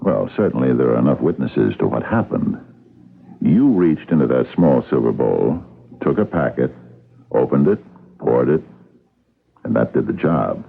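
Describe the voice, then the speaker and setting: An actor playing a dogged, wry police captain in a radio mystery, with a gravelly voice and a dry, hesitant, probing manner. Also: male voice, rumbling low, big pitch swings, moderate pace, pauses often, full character voice. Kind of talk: radio mystery drama acting. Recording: muffled lo-fi old radio broadcast.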